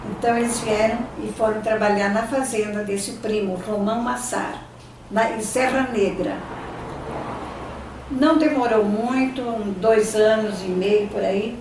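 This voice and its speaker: An older Brazilian woman, a native Italian speaker, recalls her past at a slow, unhurried pace, halting and restarting as she searches for words. She sounds far from the mic in a strongly echoing room, with faint ambient sound behind her. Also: a little high, darkish tone, a little hiss or hum. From Brazil, São Paulo state. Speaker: interviewee. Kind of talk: oral history reminiscing.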